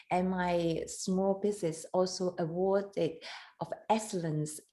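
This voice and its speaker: A woman in her thirties from Hong Kong, a photographer and competition judge, talking on a video call in halting English, animated and laughing often. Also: medium pitch. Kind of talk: casual video call interview.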